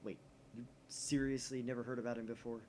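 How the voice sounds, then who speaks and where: A man's voice: mid-range voice, little pitch movement, brisk, dark tone, a little hiss or hum, close to an everyday voice. A man voicing a theatrical, self-styled young male mad scientist who talks in a dramatic, secretive way. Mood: insistent.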